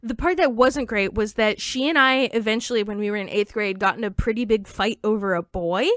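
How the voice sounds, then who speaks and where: A woman in her twenties, a comedian guest, telling stories in a casual podcast chat, full of "like" fillers and quick jokes. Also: mid-range voice, fairly bright tone, dry recording, clean studio sound. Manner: chatty storyteller, many "like" fillers, quick jokes.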